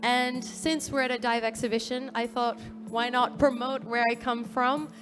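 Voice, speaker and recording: A woman's voice: fairly high pitch, little pitch movement, normal speed, music in the background. A fifteen-year-old girl from New Caledonia giving an earnest prepared talk at a dive expo, with fillers and small self-corrections. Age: teenager, fifteen. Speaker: youth speaker at a dive expo.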